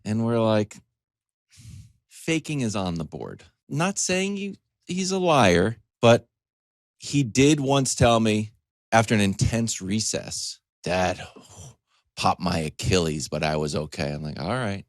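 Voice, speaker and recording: A man in his forties, a sports talk host, telling a comedic story in a casual, animated way with choppy asides and acted-out quotes. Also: fairly low pitch, slow, no echo, studio quality. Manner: animated, acts out quotes in dialogue.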